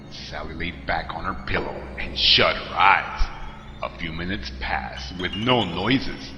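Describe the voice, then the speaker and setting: A man's voice: sits low, sharp tone. A man narrating a scary story in a dramatic, scripted style. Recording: echoey long reverb. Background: music.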